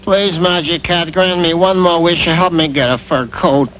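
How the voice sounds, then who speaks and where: A man's voice: a little high, steady tone, normal speed, fairly bright tone, close to an everyday voice, low-quality recording. An American man voicing a hapless, complaining young peasant in a cartoon, exasperated and whining, on an old TV soundtrack. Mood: henpecked. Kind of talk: comic cartoon voice acting. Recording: old taped TV cartoon soundtrack, faint noise.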